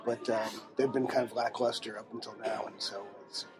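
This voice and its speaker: A man voicing a soft-spoken male protester with a hushed, deadpan delivery, recorded in a restaurant. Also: fairly quick, over music, close to an everyday voice. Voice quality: hushed, low and quiet. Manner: deadpan and understated.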